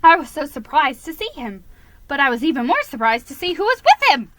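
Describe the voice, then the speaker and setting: A woman giving a dramatic, expressive reading of a first-person children's story. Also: sits high, a little fast, no room sound. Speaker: storybook reader.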